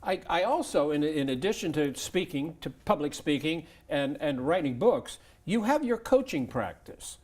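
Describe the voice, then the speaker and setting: A man's voice: a little high, very animated, normal speed, few pauses. A man hosting a television interview, asking conversational questions and praising his guest. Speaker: TV show host.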